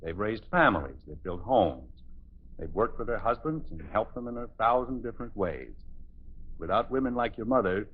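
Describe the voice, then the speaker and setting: Stern loving American sitcom dad, low husky voice, emphatic speeches, 1950s radio, dull old recording. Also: male voice, sing-song, normal speed. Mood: earnest, tender. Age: middle-aged. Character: stern but loving middle-aged father, exasperated. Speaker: radio actor.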